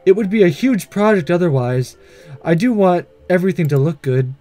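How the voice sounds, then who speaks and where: A man voicing a gentle, studious, earnest schoolgirl in a visual novel, soft, polite and measured. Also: sits high, expressive, soft background music. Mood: grateful, earnest. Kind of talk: visual novel read-aloud.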